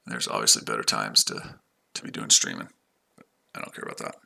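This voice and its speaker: American guy trading live, super gravelly, sharp bright voice, rambles and chats w viewers. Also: very deep voice, steady tone, a little fast. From United States. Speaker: livestream trader. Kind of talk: casual livestream chat.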